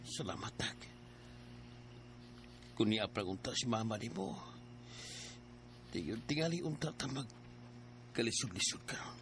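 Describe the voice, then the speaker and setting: A man's voice: fairly low pitch, animated, unhurried, pauses often, fairly bright tone, slight background noise. An actor with a Filipino Cebuano accent playing a loving, ailing, apologetic father in an audio drama. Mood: weary, apologetic.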